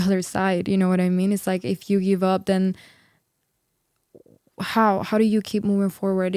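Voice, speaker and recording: A woman in her twenties from Peru talks as a guest in an earnest, upbeat way. She fills her speech with "like" and "you know." Her voice is smooth and clear, and her tone stays fairly even. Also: mid-range voice, dry recording, clean studio sound. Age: 20s. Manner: earnest, upbeat, filler-heavy with many "like"s. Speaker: singer and worship leader guest. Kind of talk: casual interview chat.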